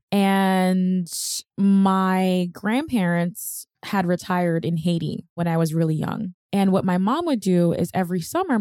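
A woman's voice: fairly low pitch, dark tone, no echo. A young woman hosting a podcast, telling her own story in a candid, chatty, self-deprecating way on a close mic. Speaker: podcast host. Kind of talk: personal storytelling monologue.

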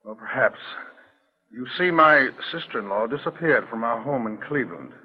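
An actor playing a polite, hesitant, grave client in a 1940s radio mystery, speaking formally and haltingly. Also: male voice, medium pitch, animated, husky. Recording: dull 1940s radio recording.

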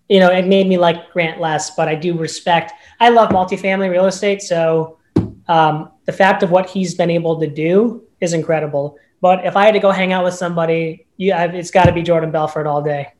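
High-voiced man giving emphatic advice. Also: fairly even intonation, normal speed. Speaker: real estate agent guest. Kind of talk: casual podcast interview.

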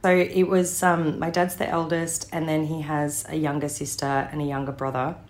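An Australian woman in her thirties, an interview guest telling family stories in a thoughtful way, pausing with ums and restarting her sentences. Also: low-pitched, little pitch movement. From Western Sydney, Australia. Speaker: interview guest, director and actor. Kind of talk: reflective conversational storytelling.

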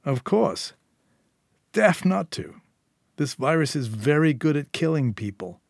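A suave, coldly polite crime boss threatens in a smooth, lifted voice, as a male narrator puts him on. The menace stays courteous. The sound is dry and close, like a studio audiobook. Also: on the high side, very animated, measured pace, no echo, pitched above the performer's own voice, heavily acted.

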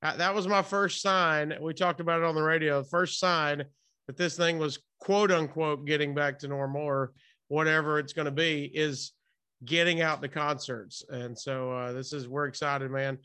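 A man's voice: on the high side, steady tone, normal speed, bright, forceful tone, smooth. A man hosting a podcast interview in a casual, enthusiastic, informal way. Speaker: podcast and radio host interviewer. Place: hotel room, remote call.

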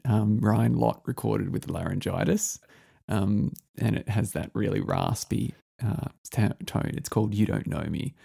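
A low, gravelly man hosting a relaxed music interview. His voice is mellow and dark, and he rambles enthusiastically, full of ums and restarts. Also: measured pace. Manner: rambling, enthusiastic, many fillers. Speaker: podcast host.

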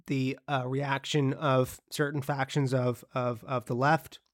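A man's voice: mid-range voice, little pitch movement, measured pace, no echo, clean studio sound. A man with a cold and a sniffly voice, co-hosting a podcast in a conversational way with frequent restarts and repeated words. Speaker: podcast co-host. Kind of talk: podcast discussion.

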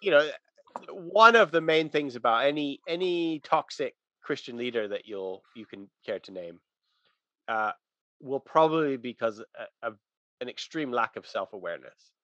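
A man's voice: medium pitch, expressive, normal speed, fairly bright tone, no echo, highs cut off. A man talking through religious ideas in a casual, conversational but emphatic teaching manner.

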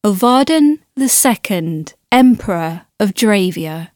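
An actress playing a composed historian who reads her chronicle in a deliberate, literate audio drama narration with dramatic pauses. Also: mid-range voice, animated, darkish tone, no echo, clean studio sound. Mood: measured, authoritative.

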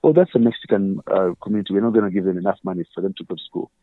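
A man's voice: sits low, rapid-fire, phone-quality sound. A man with a Ugandan accent, a guest earnestly explaining his views with examples and quoted questions. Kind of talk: conversational interview.

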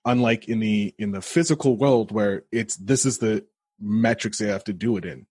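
A man's voice: fairly low pitch, normal speed, darkish tone, slightly rough, dry recording, studio quality. A man hosting a podcast and chatting with a guest in an easygoing, agreeable way. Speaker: podcast host. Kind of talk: casual interview chat.

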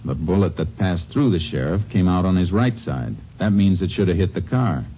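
An actor playing a calm, shrewd Texas Ranger investigator in a 1950s radio drama, talking in a steady, deliberate way. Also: male voice, sits low. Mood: calm, probing. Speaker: lead actor. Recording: dull old radio recording with faint hiss.

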